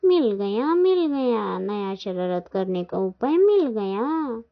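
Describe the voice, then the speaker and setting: A woman's voice: on the high side, expressive, a little slow, dry recording. A woman voicing a bratty, mischievous little boy crow in a children's cartoon, whiny and sing-song. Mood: gleeful teasing. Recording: dull lo-fi sound.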